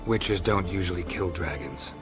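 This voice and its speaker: A man playing a gruff, stoic monster hunter in a video game, gravelly and terse, with an American accent. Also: moderate pace, music in the background, natural voice. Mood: businesslike, dry. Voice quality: gravelly, low. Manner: terse, deadpan, clipped. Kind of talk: video game character acting.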